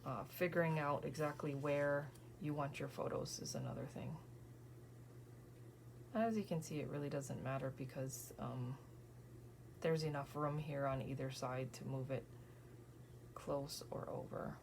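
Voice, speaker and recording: A woman in her thirties, tired from an early morning, softly thinking aloud and often whispering as she works on a scrapbook page. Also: a bass voice, a little slow, long pauses, slight background noise. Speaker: scrapbooking crafter. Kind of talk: casual crafting vlog narration.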